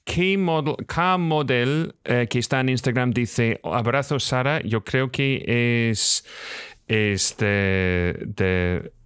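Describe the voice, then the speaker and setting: A man's voice: medium pitch, fairly bright tone, smooth, no echo, clean studio sound. A man on a casual panel chat, speaking hesitantly and stopping to search for words. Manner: hesitant, halting.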